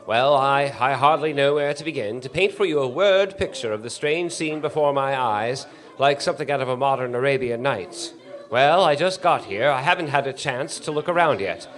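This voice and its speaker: A man's voice: medium pitch, close to an everyday voice. An actor playing an earnest, eager male radio news commentator in a 1930s radio drama, with a formal, polite announcer delivery. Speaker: stage actor in a radio play.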